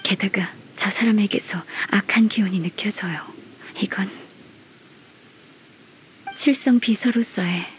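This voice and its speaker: A woman's voice: mid-range voice, a little hiss or hum, lightly acted, low-quality recording. Woman playing a formal, politely stern young secretary. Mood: serious. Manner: formal, measured, politely firm. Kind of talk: Korean anime-style game voice acting.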